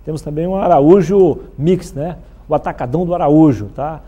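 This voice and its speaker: A Brazilian man with a husky voice, hosting a television talk show in a chatty presenting style. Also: fairly high pitch, normal speed, warm tone. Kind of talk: live show presenting with sponsor read and chatty interviewing.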